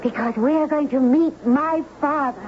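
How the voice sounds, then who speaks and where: An actress playing a mysterious, gentle young woman from the sea, earnest and pleading in a 1970s radio drama. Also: high voice, measured pace, highs cut off. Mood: urgent, pleading. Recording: noisy, band-limited 1970s radio broadcast recording.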